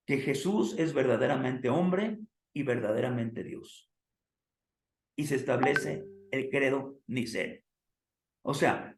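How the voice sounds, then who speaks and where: A hoarse man with a somewhat high voice lectures a theology class. He asks his listeners questions and calls them "amados" like a preacher. His voice rings around an echoey room. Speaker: theology teacher.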